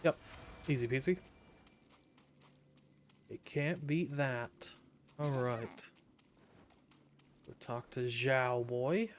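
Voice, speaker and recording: A man's voice: medium pitch, very slow, pauses often, a little hiss or hum. A man casually commenting and joking while streaming a game. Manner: laid-back, joking, slangy. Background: game music.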